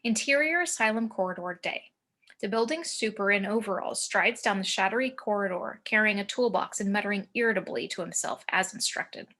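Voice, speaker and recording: A woman narrates a screenplay's action and scene headings. Her voice is very bright and forward, at an average pitch for a woman. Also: brisk. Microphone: home video call.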